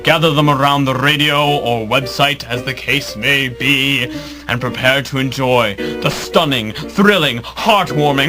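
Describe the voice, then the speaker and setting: A man's voice: mid-range voice, lightly acted, low-quality recording. A man playing a grandiose, theatrical radio host announcing a family drama hour in a pompous, dramatic way.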